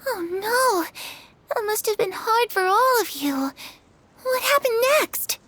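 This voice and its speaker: A woman voicing the gentle, wistful and curious shadow of a young girl in a video game. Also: very high voice, lively intonation, slow pace, no room sound, heavily acted. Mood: eager, curious.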